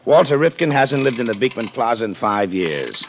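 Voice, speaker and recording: An actor playing an easygoing, steady American police sergeant in a 1950s radio crime drama, talking casually and colloquially. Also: male voice, medium pitch, moderate pace, background noise. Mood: dry.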